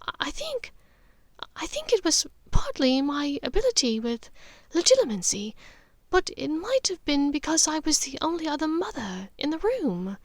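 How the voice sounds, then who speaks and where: A woman voicing an earnest, haunted witch mother, high-voiced. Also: sing-song, no echo, studio quality. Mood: halting, urgent. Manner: halting, vehement, careful. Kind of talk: audiobook character dialogue.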